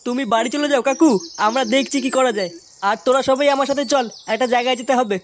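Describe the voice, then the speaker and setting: A performer voicing a bossy young male village club leader in a comic cartoon, brisk and commanding. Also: high voice, steady tone, slight background noise, close to an everyday voice.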